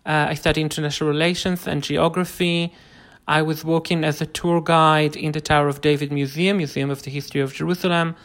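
A man from Israel with an Israeli accent, a native Hebrew speaker explaining things informally in halting phrases as a podcast guest. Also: fairly high pitch, flat delivery, fairly bright tone.

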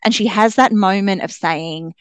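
A woman's voice: mid-range voice, normal speed, clean tone, no room sound. An Australian woman talking casually and enthusiastically about books as a podcast guest. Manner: enthusiastic, chatty. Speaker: guest author on a book podcast. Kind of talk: casual book discussion.

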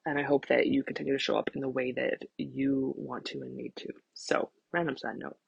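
A woman's voice: rumbling low, level tone, brisk, vocal fry, breathy edge, no echo, muffled recording. A woman in her thirties hosting a casual solo podcast, rambling candidly and self-deprecatingly, swearing freely. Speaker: podcast host, vocal empowerment coach.

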